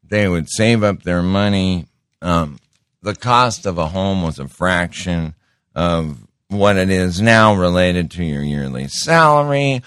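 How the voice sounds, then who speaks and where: A very deep-voiced middle-aged man hosts his show in a slow, unhurried ramble, circling back and repeating words as he interrupts himself. The sound is close and dry, with no room around it. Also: fairly bright tone, smooth, no echo. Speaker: show host. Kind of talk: casual monologue.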